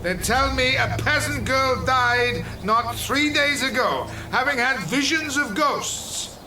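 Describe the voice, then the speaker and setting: An actor playing a sly, ingratiating travelling charm peddler with florid, deferential patter in an audio drama. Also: male voice, very high voice, slow pace, music in the background. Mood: salesman's patter. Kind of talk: theatrical audio drama acting.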